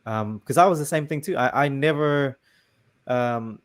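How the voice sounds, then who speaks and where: A man's voice: medium pitch, measured pace. A man hosting a casual interview, talking in a hesitant, agreeable way full of restarts. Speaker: host.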